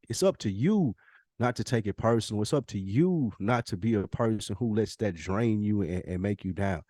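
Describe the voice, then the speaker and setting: A man's voice: mid-range voice, dark tone, no echo, studio quality. A man co-hosting a podcast, arguing his side bluntly and swearing in casual chat. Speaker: podcast co-host.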